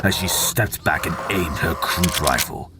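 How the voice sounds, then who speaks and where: A man's voice: deep voice, expressive, measured pace, very noisy. A man narrating a fiction audiobook in a dramatic, scripted storytelling style. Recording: voice over prominent background music.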